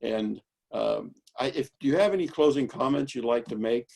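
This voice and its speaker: Male host, mid pitch with gravel, brief prompts, hosting on a video call. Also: lively intonation, normal speed, a little mellow. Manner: brief, prompting, wraps things up. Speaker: interviewer.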